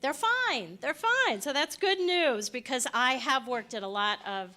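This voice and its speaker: Woman, bright voice, somewhat high, chatty joking presenter. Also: animated. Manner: chatty and joking, quick asides. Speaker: panel presenter. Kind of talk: lively presentation talk.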